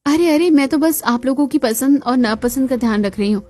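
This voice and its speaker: High-pitched sarcastic cartoon daughter-in-law played by a woman. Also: a little fast, darkish tone. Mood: mock-sweet. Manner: mock-sweet, dramatic.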